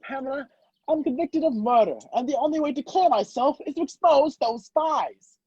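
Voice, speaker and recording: A man's voice: very high voice, normal speed, darkish tone, no room sound. A man acting a wrongly accused, impatient man on the run in a radio play over a video call. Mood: desperate, urgent. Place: video call, dry room. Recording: muffled video-call audio.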